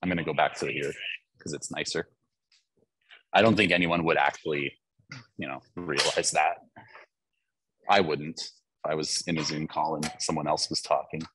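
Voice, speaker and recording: A young man chatting casually before a webinar on a video call from a lecture hall, relaxed and chatty with many fillers. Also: a bass voice, moderate pace, pauses often, husky. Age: young adult. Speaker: conference speaker. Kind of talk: casual pre-webinar chat. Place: front of a university lecture hall.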